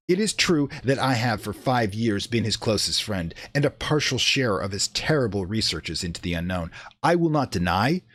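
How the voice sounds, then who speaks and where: A man's voice: medium pitch, voice pushed up. A man playing a shaken, defensive witness giving formal, old-fashioned testimony in a dramatic radio play monologue. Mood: troubled, insistent.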